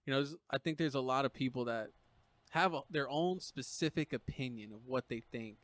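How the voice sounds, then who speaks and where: A man's voice: mid-range voice, brisk, a bit bright. A man hosting a podcast, asking questions in an easygoing, rambling way with many fillers. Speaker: podcast host. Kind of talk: casual podcast interview.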